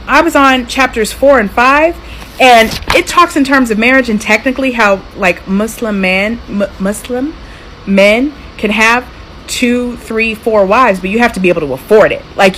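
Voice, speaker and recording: An American woman talking casually to camera as a content creator, with an expressive, emphatic delivery. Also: a little high, normal speed. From United States. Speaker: social media content creator. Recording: clearly noisy clip with room ambience.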